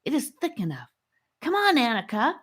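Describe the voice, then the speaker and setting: Woman acting an urgent, high-pitched storybook boy. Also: wildly swooping pitch, moderate pace, full character voice. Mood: urgent, excited. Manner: urgent, insistent. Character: eager, impatient young boy adventurer in storybook.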